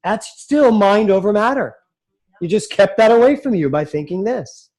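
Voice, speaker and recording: A man's voice: high voice, expressive, moderate pace, a little mellow, rough recording. A man leading a group coaching session, questioning members in a direct, probing way. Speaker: group leader.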